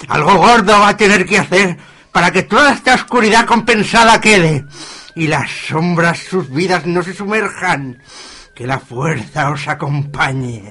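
A man's voice: high-pitched, lively intonation, a little slow, sharp tone, exaggerated voice. A man voicing a solemn, portentous fetus spirit in an amateur radio drama. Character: grandiose unborn fetus spirit, male, ominous.

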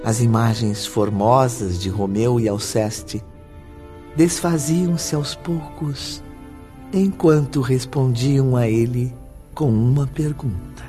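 A man with a medium-pitched voice narrates a scripted radio drama. His telling is formal and measured, and music plays clearly underneath. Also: warm tone.

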